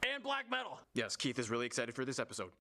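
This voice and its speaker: A man's voice: medium pitch, huge pitch swings, fast, gravelly, background noise. A man hosting a geography video, narrating in a playful, upbeat scripted way. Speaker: host of a geography show.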